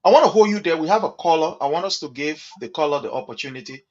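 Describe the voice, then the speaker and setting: A man hosting an online political talk show, loud and urging as he takes callers. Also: fairly high pitch, lively intonation, fast. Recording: dull lo-fi stream audio with clear noise.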